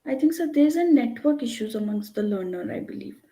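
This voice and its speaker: A woman's voice: on the high side, lively intonation, brisk, dark tone. A woman giving an online lecture, explaining at length and checking in with "right?". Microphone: computer mic on a video call. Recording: echoey room.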